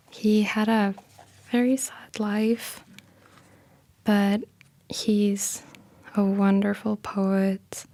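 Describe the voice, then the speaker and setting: Hushed, breathy woman speaking very slowly. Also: medium pitch, dry recording. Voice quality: breathy, half-whispered soft voice. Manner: gentle, unhurried, hushed. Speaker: ASMR creator and host. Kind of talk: soft-spoken ASMR, casual explaining and poetry reading. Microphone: close microphone.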